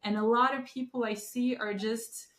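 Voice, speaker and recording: A woman's voice: fairly high pitch, fairly even intonation, moderate pace. Woman host in an echoey room. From Turkey. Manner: earnest, many fillers and rephrasings. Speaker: podcast host. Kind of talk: conversational interview.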